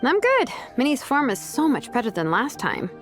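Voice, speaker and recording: Confident high-voiced female vice captain. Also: wildly swooping pitch, moderate pace, soft background music. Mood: coaching. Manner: instructive, friendly. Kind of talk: video game cutscene voice acting.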